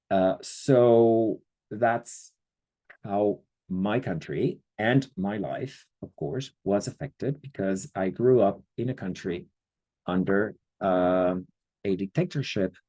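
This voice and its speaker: A middle-aged man from Chile giving an online history lecture, speaking haltingly with many fillers and checking in with listeners. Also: deep voice, mellow. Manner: slow, hesitant, many fillers, checks in with the audience.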